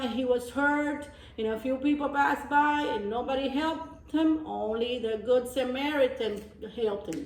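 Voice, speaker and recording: A woman reading a storybook aloud as a teacher of young children, pausing for simple explanations and repeating phrases. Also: high voice. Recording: roomy echo, distant microphone.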